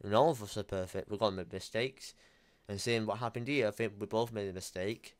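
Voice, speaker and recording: A man's voice: on the low side, brisk, no echo. A young man talking casually on a call from his home gaming room, blunt and emphatic, swearing now and then. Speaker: YouTuber.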